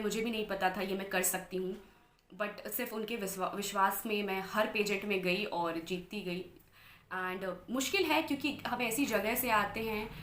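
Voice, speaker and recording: A woman's voice: mid-range voice, fairly bright tone, roomy sound. A woman in her twenties from Bihar, India, earnestly telling her life story as an interview guest and mixing English phrases into her Hindi. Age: 20s. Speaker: interview guest, anchor and model.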